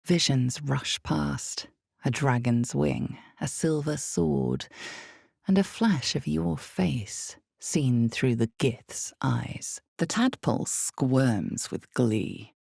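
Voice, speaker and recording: An actress playing an omniscient, grave and slyly knowing narrator of a fantasy role-playing game, speaking in a measured, dramatic way with hushed emphasis. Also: female voice, a bass voice, creaky, dry recording, clean studio sound, full character voice.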